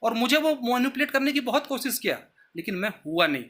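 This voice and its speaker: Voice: mid-range voice, very animated, quick pace, a bit bright. A person speaking earnestly and insistently to viewers, justifying themselves point by point. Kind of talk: earnest explanatory address to viewers.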